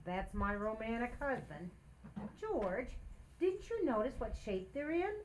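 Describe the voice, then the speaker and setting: A woman acts as an exasperated, romantic housewife in old-time radio comedy. Her voice sits on the high side and swoops with expression. She hints and wheedles as she talks. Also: big pitch swings, measured pace, low-quality recording. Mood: exasperated, hinting. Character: Liz, hinting, romantic, exasperated young housewife.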